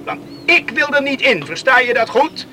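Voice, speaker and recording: A man's voice: very high-pitched, very animated, moderate pace, pushed and bright, a little hiss or hum, exaggerated voice. An actor playing a stubborn, defiant young man in a radio play, blunt and dismissive in manner. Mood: defiant, irritated. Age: young adult.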